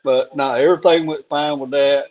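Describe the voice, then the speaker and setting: A man with a hoarse voice, chatting casually and plain-spoken on a live stream, laughing things off. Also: a little high, steady tone, normal speed, darkish tone. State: hoarse after a throat tube. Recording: dull lo-fi call audio.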